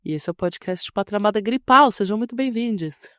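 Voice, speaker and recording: A woman's voice: mid-range voice, expressive, a little fast, a bit bright, no echo, studio quality. A woman co-hosting a podcast in casual chat. She has a cold and sore throat, so she sounds nasal and stuffed up, and she jokes and laughs a lot. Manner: joking, laughs often. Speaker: podcast co-host.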